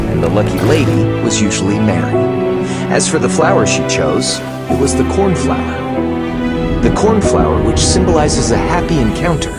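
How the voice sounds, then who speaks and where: An actor playing a composed, shrewd gentleman novelist, speaking in a deliberate storytelling manner in a video game cutscene. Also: mid-range voice, lightly acted.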